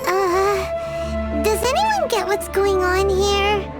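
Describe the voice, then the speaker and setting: A tiny, blunt little fairy companion from a video game. Her voice is extremely high and squeaky, and it bounces up and down as she talks. She speaks slowly and deliberately, with game music playing behind her. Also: expressive, full character voice. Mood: puzzled. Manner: refers to herself in the third person.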